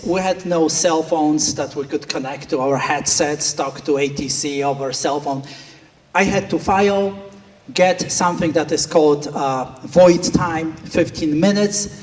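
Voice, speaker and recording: Middle-aged polish guy telling a flying story at a banquet, fairly high voice, heard from way off the mic with a long hall echo. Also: unhurried. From Poland. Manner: earnest anecdotal telling with quoted inner voices. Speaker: flight instructor giving a speech. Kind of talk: storytelling speech at a gala. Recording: distant speaker in a reverberant hall.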